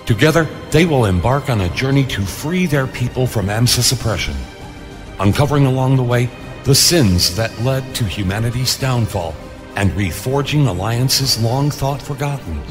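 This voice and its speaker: A man's voice: mid-range voice, lively intonation, moderate pace. A man narrating a scripted adventure trailer in a dramatic style. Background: music.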